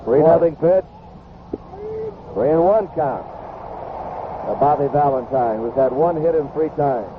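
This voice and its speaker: A man's voice: on the high side, slow pace, long pauses. Tinny vintage radio baseball announcer, male. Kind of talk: live sports play-by-play commentary. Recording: noisy tinny vintage 1970s radio broadcast.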